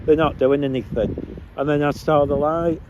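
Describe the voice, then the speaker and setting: A man with a cold rambles to himself while filming outdoors on a chilly street. His voice sits a little high, and loud traffic noise runs behind him. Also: level tone, normal speed, dull audio. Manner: chatty, mutters to himself. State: has a cold. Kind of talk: rambling casual chat to camera.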